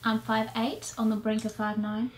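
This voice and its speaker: A woman's voice: fairly high pitch, level tone, normal speed. A woman in her twenties from South Sudan, a native Nuer speaker, chatting upbeat in a casual question-and-answer vlog. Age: 20s. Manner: chatty, upbeat, fillers like "you guys". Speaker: vlogger, co-host with partner. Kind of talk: casual Q&A chat. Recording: echoey room with faint noise.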